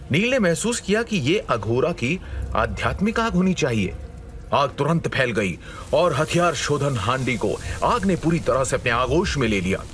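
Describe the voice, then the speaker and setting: A man's voice: mid-range voice, fairly bright tone, a little hiss or hum. A man narrating a scripted dramatic story as a storyteller, in a suspenseful manner.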